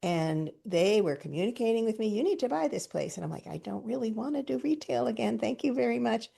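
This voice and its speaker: Woman host, gravelly low voice, mellow, chatty, laughs a lot, video call. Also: expressive, fairly quick. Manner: enthusiastic, chatty storyteller, laughs often. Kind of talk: casual chat on a group video call.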